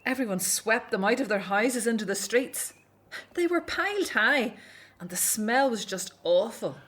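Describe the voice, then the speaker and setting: A woman's voice: a little high, expressive, unhurried, a bit bright, husky, full character voice. A woman playing a dutiful, outspoken grown daughter in an audio drama set in ancient Egypt. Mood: weary, complaining.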